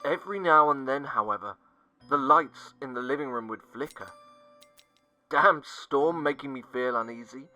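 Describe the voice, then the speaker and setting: A man narrating a scripted first-person horror story, dramatic and sinking to hushed tones at the tense moments. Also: mid-range voice, normal speed. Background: faint music.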